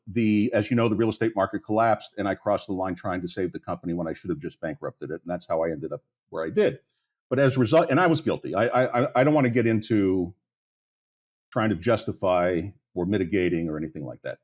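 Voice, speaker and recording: A man's voice: lowish voice, dull audio. Blunt, rambling man in his sixties. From United States, Pittsburgh area. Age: 60s. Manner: blunt, candid, rambling with frequent restarts. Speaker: interview guest. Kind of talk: podcast interview.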